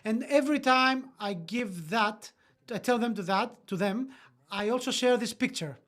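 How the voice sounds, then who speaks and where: Interpreter, earnest, mid-pitched, hesitant restarts, motivational webinar voice-over. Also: moderate pace, fairly bright tone. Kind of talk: motivational talk, interpreted into English.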